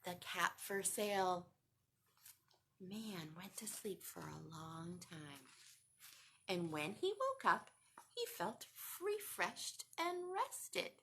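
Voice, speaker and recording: A woman's voice: lowish voice, very animated, takes it slowly, bright, forceful tone. A woman reading a picture book aloud to young children, expressively and with questions to the listeners. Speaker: children's storytime reader.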